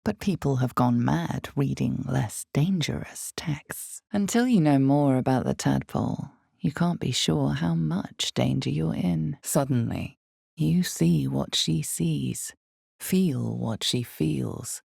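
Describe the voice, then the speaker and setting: A woman voicing an ominous, all-knowing narrator of a dark fantasy video game, speaking in slow, deliberate, dramatic storytelling with a creaky low voice. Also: dry recording, studio quality, heavily acted. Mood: ominous, measured. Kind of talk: scripted second-person dark fantasy narration.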